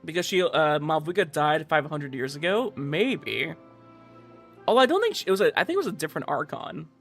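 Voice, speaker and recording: A man's voice: a little high, sing-song, a little fast, fairly bright tone. A man streaming a game, chatting casually with viewers and thinking aloud as he goes. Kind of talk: casual livestream chat over gameplay. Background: game music.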